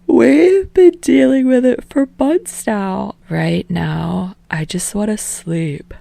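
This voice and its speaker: A woman's voice: medium pitch, slow pace, vocal fry, mellow, dry recording, pitched above the performer's own voice. A woman reading a podfic, voicing a sleepy, unimpressed young woman who half-whispers. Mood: weary.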